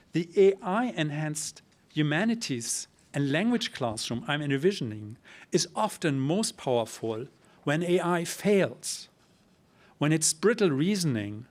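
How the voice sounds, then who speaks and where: A middle-aged man giving an academic lecture, speaking in a measured, emphatic way with pauses, then answering audience questions. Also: fairly high pitch. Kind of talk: academic talk and Q&A. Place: lecture hall.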